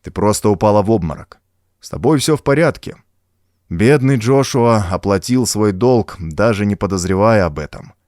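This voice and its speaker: A man's voice: fairly low pitch, expressive, moderate pace, darkish tone, no room sound, studio quality, natural voice. A man voicing a tough pulp hero who speaks soothingly and reassuringly, in an audiobook story. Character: tough adult male pulp hero reassuring his wife.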